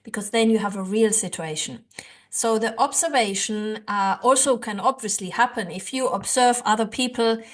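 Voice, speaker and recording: A woman's voice: a little high, normal speed. A woman whose first language is German gives an earnest, rambling English lesson to camera, with many fillers and restarts. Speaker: language-learning YouTuber. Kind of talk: casual teaching to camera.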